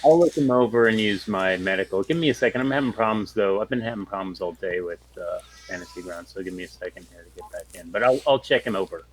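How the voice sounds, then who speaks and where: A man's voice: fairly low pitch, fast talker, few pauses, breathy edge, rough recording. A man talking casually as a player in a tabletop role-playing game. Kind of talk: casual chat.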